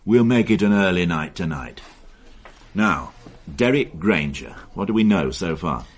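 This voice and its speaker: A man's voice: deep voice, expressive, measured pace, slightly rough, full character voice. An actor playing a dry, ironic male police inspector in a radio drama, speaking in a sardonic way.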